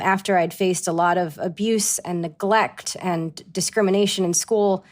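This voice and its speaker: Earnest, lower-voiced young woman. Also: darkish tone. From Rhode Island. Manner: earnest, talkative, with quick self-corrections. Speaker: actress and guest. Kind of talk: interview answers.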